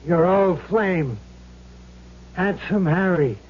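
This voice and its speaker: Jilted gangster ghost, really high pitched, slow streetwise talk, dull old radio play sound. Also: male voice, exaggerated voice. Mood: menacing. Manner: streetwise, uneducated slangy talk. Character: vengeful gangster ghost, jealous jilted lover. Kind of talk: 1970s radio drama acting. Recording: muffled old radio broadcast recording.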